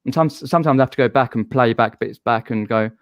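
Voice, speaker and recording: A man's voice: medium pitch, fast talker, no echo, studio quality. A man with a southern English accent hosting a casual live-stream chat, rambling and chatty with frequent restarts. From southern England.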